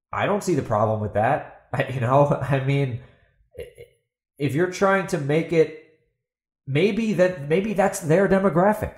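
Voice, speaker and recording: A man's voice: mid-range voice, a little mellow. Blunt, emphatic man in an echoey room. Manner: emphatic, blunt, swears, asks and answers own questions. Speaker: podcast host and fantasy author. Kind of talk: opinionated solo podcast monologue. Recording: roomy, echoey voice recording.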